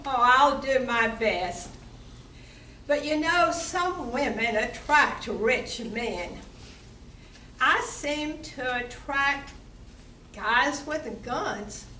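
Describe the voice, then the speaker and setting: A brassy, flirtatious barroom singer played by a woman in an amateur comedy play. Her voice is high and bright with lively swings. It is picked up from far off in an echoey room. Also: slight background noise, exaggerated voice. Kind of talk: amateur stage comedy radio play acting.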